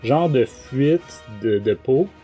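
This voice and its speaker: A man's voice: mid-range voice, lively intonation, slow, mellow, highs cut off, faint music behind. A man with a Quebec French accent hosting a casual book review podcast, talking in a hesitant way. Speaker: podcast host.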